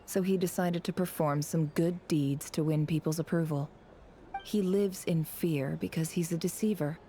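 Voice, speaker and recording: A guarded female spymaster from a video game. Her voice is low and dark, delivered with measured, wry cynicism. Faint noise sits behind it. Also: steady tone. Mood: cynical. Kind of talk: video game character dialogue.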